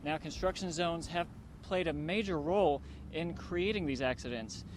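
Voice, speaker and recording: A man giving a scripted TV news report in a measured, emphatic reporter's delivery. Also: fairly high pitch, slight background noise.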